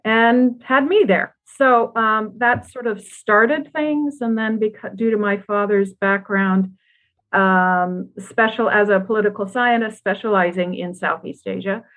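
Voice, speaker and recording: A woman's voice: mid-range voice, fairly even intonation, unhurried, fairly bright tone. An older woman talking as a podcast guest, rambling with frequent ums and restarts. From Burma, raised partly in the United States. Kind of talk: casual interview. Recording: tinny phone-like line.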